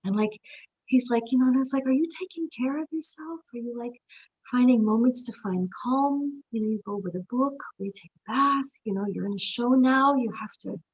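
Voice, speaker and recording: A middle-aged woman from New York, a stage actress talking as a guest in a casual interview, telling stories in a lively, expressive way and laughing often. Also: fairly high pitch, brisk, lo-fi sound.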